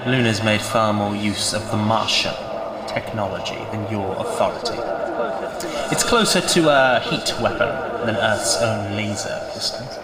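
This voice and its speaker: A man voicing a patronising, wry scientist in an audio drama, measured and condescending. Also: lowish voice, natural voice. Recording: echoey with loud background noise.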